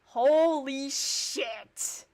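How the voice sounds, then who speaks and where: A woman streamer reading visual novel narration and dialogue aloud, with sarcastic, expressive reactions. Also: high voice, extremely slow.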